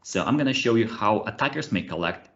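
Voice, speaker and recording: A man in his thirties with a Russian accent, presenting a cybersecurity session over a video call. He hesitates often, uses many fillers and restarts, and keeps his delivery animated. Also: fairly low pitch, brisk, muffled recording. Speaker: conference speaker, ethical hacker. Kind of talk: webinar presentation with live demos. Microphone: computer mic on a video call.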